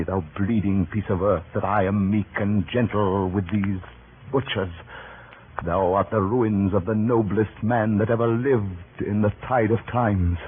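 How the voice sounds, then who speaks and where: A man's voice: on the low side, natural voice. An actor playing a grieving, vengeful Roman nobleman in declamatory classical radio drama style. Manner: declamatory, theatrical. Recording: scratchy, tinny old radio drama recording.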